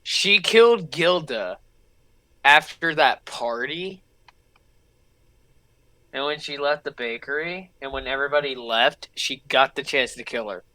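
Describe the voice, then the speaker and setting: A man with a bright, forward voice and middling pitch reacts casually to a story. He is blunt, swears freely and repeats himself, and the sound is close and dry. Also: unhurried, pauses often, dry recording. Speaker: reaction video host. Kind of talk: casual spoken reaction commentary.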